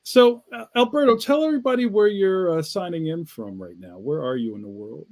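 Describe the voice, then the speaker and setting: A man co-hosting a podcast, chatting in a relaxed, casual way. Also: fairly high pitch, fairly quick. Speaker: podcast co-host. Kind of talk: casual interview chat.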